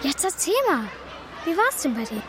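A woman's voice: sits high, sing-song, moderate pace, a crowd in the background, noisy background. A woman playing a nosy, cheeky and blunt schoolgirl in a German children's radio play. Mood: teasing.

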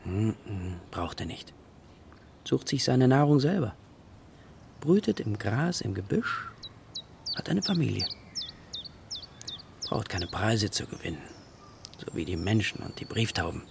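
Musing gentle dad, creaky, expressive melody, children's radio play, clear birdsong behind. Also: male voice, on the low side, unhurried, long pauses, heavily acted. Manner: musing, patient. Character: gentle, reflective bird-loving father. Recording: outdoor birdsong noise behind voice.

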